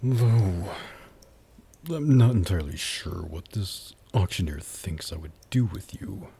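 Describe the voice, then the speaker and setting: A man playing a haughty, predatory demon in an ASMR roleplay, taunting and flirting in a condescending purr that dips into breathy whispers. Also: low-pitched, animated, slow, dark tone, a little hiss or hum, heavily acted. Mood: musing, menacing.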